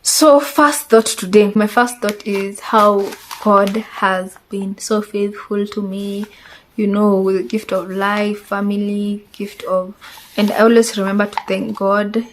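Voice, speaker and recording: A woman's voice: mid-range voice, fairly even intonation, measured pace, a little hiss or hum, rough recording. Chatty young Kenyan woman vlogging. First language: Swahili. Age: 20s. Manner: chatty and rambling, hedges with "I think". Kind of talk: casual tag-question vlog.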